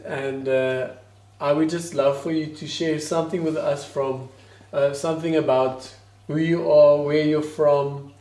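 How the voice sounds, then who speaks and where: Mellow host. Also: male voice, a little high, fairly even intonation, unhurried, reverberant. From South Africa. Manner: hesitant, searching for words. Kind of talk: casual interview. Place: his own house.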